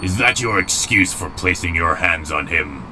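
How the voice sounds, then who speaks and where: Very deep, grim robot warlord. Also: male voice, expressive, noisy background. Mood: cold, menacing. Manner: formal, stern, deliberate phrasing. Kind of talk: dramatic comic dub acting. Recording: music behind the voice. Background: music.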